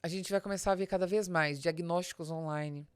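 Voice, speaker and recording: A Brazilian woman with a fairly low voice talks through business advice in podcast style. She is didactic and addresses the listener directly, often asking rhetorical questions. The sound is very dry, with hardly any room in it. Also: steady tone, fairly quick, clean tone, no room sound. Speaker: business advisor and podcast host. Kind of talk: business podcast talk, explanatory with examples.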